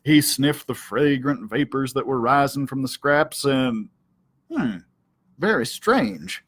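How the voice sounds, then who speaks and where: A man reading a children's story aloud as a library storyteller, dramatic and deliberate in his delivery. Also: medium pitch, lively intonation, fairly bright tone, slightly rough. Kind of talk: expressive children's audiobook-style read-aloud.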